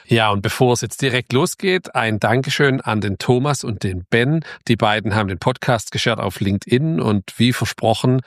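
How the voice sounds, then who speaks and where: A man's voice: medium pitch, moderate pace, few pauses, no room sound, clean studio sound. A man hosting a podcast, opening the episode and interviewing his guest in a casual, informal way. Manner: chatty, informal, with frequent restarts. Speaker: podcast host.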